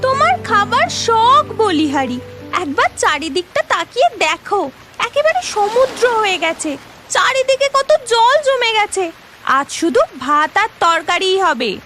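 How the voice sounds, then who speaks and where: A woman voicing a young, sweet, excitable female character in a cartoon story, with dramatic exclamations. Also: very high-pitched, a little slow, music in the background, exaggerated voice. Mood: wry.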